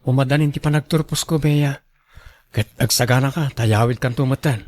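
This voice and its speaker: Young student boyfriend, male actor, radio drama, Ilocano accent, sincere, average-pitched voice. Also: moderate pace, a little mellow, lightly acted. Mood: reassuring. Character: young student son, earnest, reassuring boyfriend.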